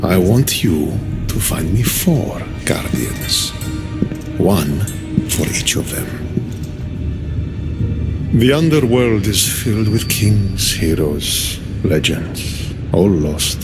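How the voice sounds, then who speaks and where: A man's voice: low voice, lively intonation, slow, full character voice. An actor playing a sardonic, volatile god king of the underworld in a video game, with theatrical, mock-courteous menace. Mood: controlled menace. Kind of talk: video game cinematic acting. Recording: loud music behind the voice. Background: loud cinematic game music.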